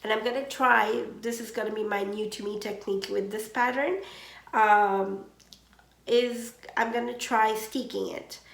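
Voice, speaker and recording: Slow knitting podcast lady, bit congested, gravelly voice, roomy echo. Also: a little high, fairly even intonation. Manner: slow, gentle, with many ums and uhs. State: congested from seasonal allergies. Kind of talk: casual chat. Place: home.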